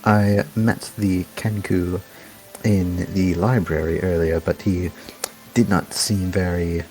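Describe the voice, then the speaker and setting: A man's voice: low-pitched, level tone, slow, darkish tone, poor audio. A man playing a tabletop game, speaking hesitantly and politely in character. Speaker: player. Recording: faint background music.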